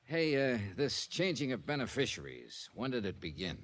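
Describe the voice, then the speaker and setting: An actor playing a wry, easygoing American insurance investigator in an old-time radio drama, narrating in a clipped, hard-boiled way. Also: male voice, mid-range voice, wildly swooping pitch, normal speed, no echo. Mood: wry, curious. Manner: wry, laid-back, clipped. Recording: old radio broadcast with music behind.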